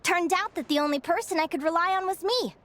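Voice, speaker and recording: A stubborn young advisor. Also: female voice, very high voice, fast. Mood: reflective. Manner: earnest, reflective. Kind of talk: game cutscene dialogue. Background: loud music.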